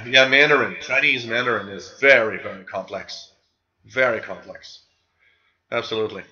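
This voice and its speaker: A man's voice: medium pitch, normal speed, sharp tone, dull audio. A man with a Quebec French accent hosting a live radio hobby show, chatting casually and reading out viewers' comments. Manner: casual, rambling, reads chat names aloud.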